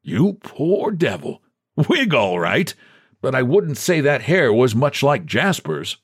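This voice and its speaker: A man's voice: medium pitch, very animated, slow, husky, no room sound, clean studio sound, voice pushed up, exaggerated voice. A man voicing a condescending bank president with an easy, patronizing, falsely sympathetic manner in a story reading. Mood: pitying.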